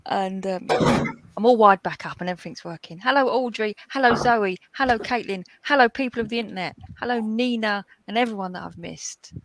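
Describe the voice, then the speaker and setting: A woman's voice: mid-range voice, fairly quick, a bit bright, no room sound, low-quality recording. A woman hosting a live colouring call from home, chatty and upbeat, greeting everyone by name. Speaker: host of the live colour-along. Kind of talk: casual chat. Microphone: video call.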